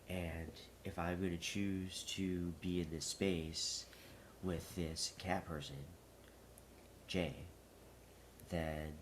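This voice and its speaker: A man with a very low voice speaks slowly and wryly, stuffy and sniffly. Faint background noise hums behind his rambling chat. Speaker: vlogcast co-host. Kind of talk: casual chat.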